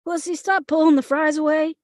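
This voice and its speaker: A woman's voice: high voice, steady tone, fairly quick, no echo, clean studio sound, natural voice. A woman playing a cheeky, mouthy, teasing boy at a screenplay table read. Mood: complaining.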